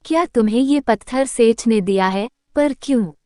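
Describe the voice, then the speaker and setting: A woman's voice: a little high, moderate pace, dark tone, no echo, clean studio sound, close to an everyday voice. A woman voicing a sensible, shrewd village priest's wife in a cartoon story, speaking firmly and with assurance. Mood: questioning, scolding. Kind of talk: Hindi cartoon story dialogue.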